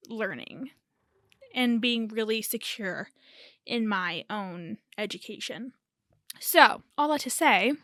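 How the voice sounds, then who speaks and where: A young woman in her early twenties speaks in a slightly high, hoarse and raspy voice, still getting over a cold. She rambles and corrects herself as she chats alone on her podcast. The sound is dry and close. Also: sharp tone, dry recording. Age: early 20s. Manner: rambling, self-correcting, laughs nervously. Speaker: podcast host. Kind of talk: casual solo chat.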